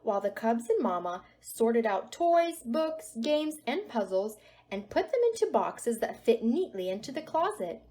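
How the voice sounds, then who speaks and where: Woman, children's read-aloud narrator, highish pitch, lively swings, dramatic emphasis. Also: a little slow. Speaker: storybook reader.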